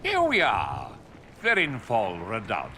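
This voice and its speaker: A man's voice: on the low side, huge pitch swings, slow pace, pushed and bright, exaggerated voice. Haughty male aristocrat, flamboyant game dialogue, very sweeping intonation, faint background noise. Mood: gossipy, sly. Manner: pompous, theatrical, condescending. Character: pompous, snobbish nobleman envoy with flamboyant condescension. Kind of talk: video game dialogue acting.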